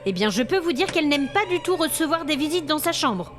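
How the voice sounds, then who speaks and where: A woman's voice: high voice, big pitch swings, a little fast, soft background music. A woman playing an exasperated, haughty hotel guest with complaints, in an acted audio fiction monologue. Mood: indignant, demanding. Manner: haughty, aggrieved, demanding. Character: indignant, exasperated female hotel guest complaining about ghosts.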